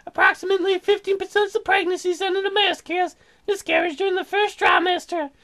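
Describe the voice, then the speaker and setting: A man's voice: extremely high pitch, level tone, quick pace, vocal fry, fairly bright tone, voice pushed up, exaggerated voice. A man voicing a tactless, prim female doctor in a video game, speaking in a matter-of-fact, clinical way.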